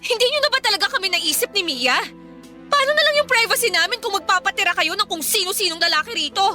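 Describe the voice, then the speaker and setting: An indignant young breadwinner daughter in a radio drama. The voice is very high and animated, stern and outspoken, with light music behind it. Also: female voice, quick pace, exaggerated voice. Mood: angry, pleading. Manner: confrontational, indignant. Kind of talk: Filipino radio drama acting.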